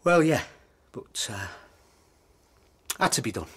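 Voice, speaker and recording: A man acting in a radio drama as a weary, earnest husband who hesitantly justifies himself, his voice husky. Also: mid-range voice, big pitch swings, slow pace, exaggerated voice. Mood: self-justifying.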